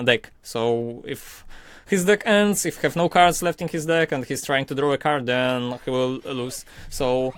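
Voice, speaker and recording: Methodical coach, dry close sound. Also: male voice, medium pitch, fairly bright tone, clean tone, dry recording. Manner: methodical, hesitant with many fillers. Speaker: card game coach. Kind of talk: explaining game strategy.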